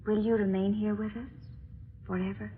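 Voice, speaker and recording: A woman's voice: medium pitch, little pitch movement, moderate pace, natural voice. A woman acting a devoted, romantic young princess in a melodramatic old-time radio drama. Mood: pleading. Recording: dull, noisy old radio recording.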